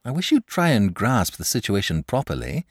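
Man as a frank young boy, lively pitch. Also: moderate pace, dry recording, clean studio sound. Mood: exasperated. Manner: matter-of-fact, polite, earnest. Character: frank, sensible young boy, politely exasperated.

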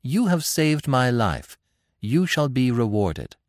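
A man's voice: a little high, big pitch swings, normal speed, darkish tone, dry recording, studio quality, voice pushed up. A man voicing a proud young captive queen in an audiobook adventure, imperious yet pleading and protesting.

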